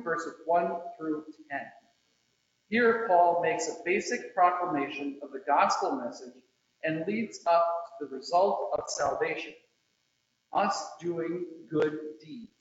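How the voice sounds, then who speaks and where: Echoey, high-pitched man slowly reading scripture. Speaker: scripture reader at a church service. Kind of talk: solemn scripture reading with short introductions. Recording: very echoey room, slightly muffled.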